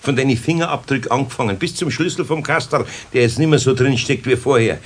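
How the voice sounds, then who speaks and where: A man with a Bavarian accent playing a gruff but kindly older master carpenter in a radio drama, with a husky voice. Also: medium pitch, fast talker, close to an everyday voice. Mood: wry, stern. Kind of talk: 1970s German radio drama acting.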